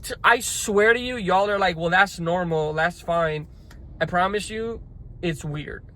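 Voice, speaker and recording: A man's voice: high voice, measured pace, fairly bright tone. A young man talking to camera from his car on his way to lunch, rambling and reflective, restarting his sentences often. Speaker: vlogger. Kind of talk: casual talking-to-camera vlog. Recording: faint car noise behind.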